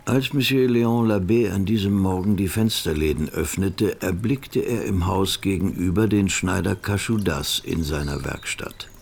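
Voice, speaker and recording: An actor as the measured, matter-of-fact narrator of a radio murder story. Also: male voice, sits low, creaky, darkish tone, slight background noise. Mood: measured, ominous. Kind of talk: scripted radio play narration.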